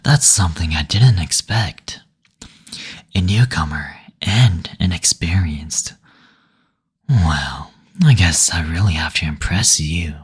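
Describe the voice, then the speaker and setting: A very husky, near-whispering male demon, played by a man, speaking low and unhurried. He is suave and courtly, teasing with coy endearments and lingering hums, as in an intimate roleplay audio. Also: heavily acted. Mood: surprised, intrigued.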